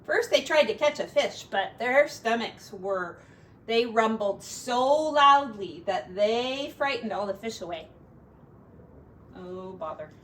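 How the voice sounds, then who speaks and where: Expressive high-voiced woman reading to kids. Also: slow pace. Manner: expressive, simple gentle asides to children. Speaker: teacher reading to young children. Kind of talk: picture-book read-aloud with chatty asides. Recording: distant mic with faint background noise.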